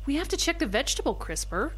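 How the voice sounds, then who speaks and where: An actress plays an excitable snoop with a high voice that leaps up and down. She is gushing, teasing and dramatic, in the manner of comic radio drama. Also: quick pace, low-quality recording. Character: excitable, romantically minded woman snooping on a man. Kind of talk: comedic audio drama acting.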